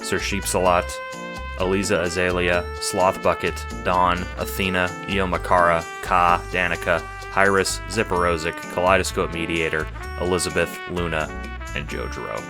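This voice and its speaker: A man's voice: deep voice, flat delivery, normal speed. A man reading scripted audiobook narration as the narrator of an audio drama. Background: music.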